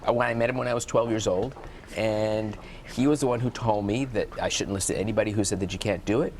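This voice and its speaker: Male interpreter, deep voice, voices the guest in first person, TV studio, faint hiss. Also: steady tone, fast, darkish tone. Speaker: sign language interpreter voicing a guest. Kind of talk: live TV interview, speaking as interpreter.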